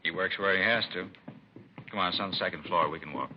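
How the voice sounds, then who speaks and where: A man's voice: sits low, quick pace, close to an everyday voice. A man acting as a cagey, laconic American narcotics dealer in a 1950s radio crime drama, casual and evasive. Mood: cagey, reassuring. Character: cagey, unhurried male narcotics dealer, coolly suspicious. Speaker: supporting actor. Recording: muffled, noisy vintage radio recording.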